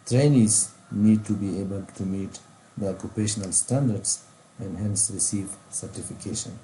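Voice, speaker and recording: A man with an Afghan accent delivers a scripted lecture in a slightly low, very soft-toned and mellow voice. He is formal and deliberate, reading phrase by phrase. There is faint noise behind him. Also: rough recording. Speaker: vocational training specialist. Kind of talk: scripted lecture narration over slides.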